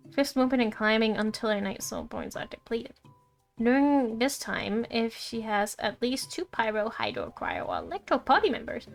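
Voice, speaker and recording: A woman game streamer chatting casually and reading game text aloud, with excitable, giggly reactions. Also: on the high side, gravelly.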